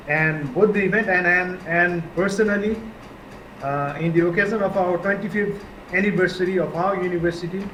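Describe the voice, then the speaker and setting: A man speaking as a university representative, offering ceremonious, slightly halting formal thanks on echoey call audio. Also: high voice, a bit bright, slight background noise. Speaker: university representative giving greetings.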